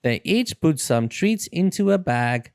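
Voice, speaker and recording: A storyteller reading a children's book aloud in an expressive, dramatic and deliberate way. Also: mid-range voice, clear, resonant tone, no echo, clean studio sound. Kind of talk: expressive read-aloud narration.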